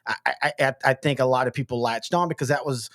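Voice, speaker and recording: A man's voice: medium pitch, fast talker, a bit bright, no echo, clean studio sound. A man from East Texas, hosting a casual sports podcast, rambling with stammered restarts. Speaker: podcast host.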